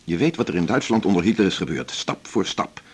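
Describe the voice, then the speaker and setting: An actor playing a reflective, principled male doctor in a naturalistic radio drama, speaking in a measured, world-weary way. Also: low-pitched, lively intonation, slightly rough. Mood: grave, doubting.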